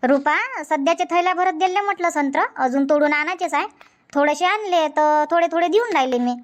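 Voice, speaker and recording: A woman's voice: very high voice, brisk. A woman voicing a busy, chatty village auntie in a comedy cartoon, rambling in a folksy way with a Varhadi Marathi accent from Vidarbha. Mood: chatty, bustling.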